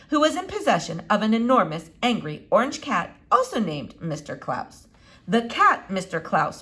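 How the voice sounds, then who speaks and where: A woman reading a children's novel aloud in an expressive, animated way with dramatic pauses. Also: medium pitch. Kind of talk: expressive storybook read-aloud.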